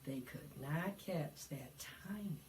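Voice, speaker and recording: Female children's storytime host, unhurried pace, very lively pitch, sing-song delivery. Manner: sing-song, dramatic, asks children questions. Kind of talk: animated preschool read-aloud with singing.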